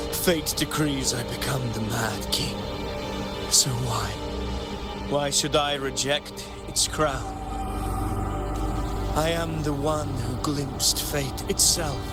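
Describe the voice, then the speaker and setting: Man acting a slow, brooding mad tyrant king. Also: medium pitch, close to an everyday voice. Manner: theatrical, declamatory, slow and brooding. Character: fallen gladiator consumed into mad tyrant king, grandiose and anguished. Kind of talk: dramatic video game voice acting. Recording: loud music over the voice. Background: loud game music.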